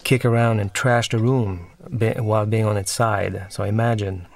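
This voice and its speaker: A man, the film's director, talking through a commentary track and often pausing and restarting as he searches for words. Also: fairly low pitch, steady tone, creaky, soft background music. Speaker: film director.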